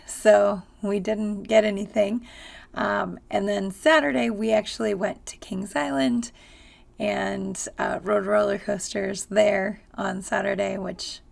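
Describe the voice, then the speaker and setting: A woman with a hoarse, raspy voice, chatting casually in a travel vlog with frequent ums and uhs. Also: medium pitch. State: hoarse from screaming. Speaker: travel vlogger.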